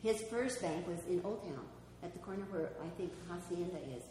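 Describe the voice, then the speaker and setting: A woman whose first language is Greek gives a history talk, reading a story and then answering audience questions with many pauses and ums. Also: on the low side. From New Mexico, USA. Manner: hesitant, with many ums and restarts when answering. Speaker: speaker giving a history talk. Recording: echoey hall, faint background noise.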